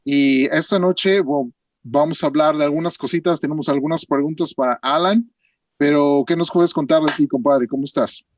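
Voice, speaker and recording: Halting Mexican male host, sits back from a dry mic. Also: a little high, dry recording, far from the mic. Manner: hesitant, many fillers and restarts. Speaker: interview host. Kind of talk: casual interview chat.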